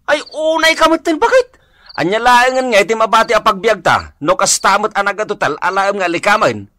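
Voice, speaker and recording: A burdened, exasperated Ilocano husband in a radio drama. His fairly high voice is forceful and lively, full of plaintive complaint. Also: brisk, no echo, studio quality. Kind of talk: Filipino radio comedy drama acting.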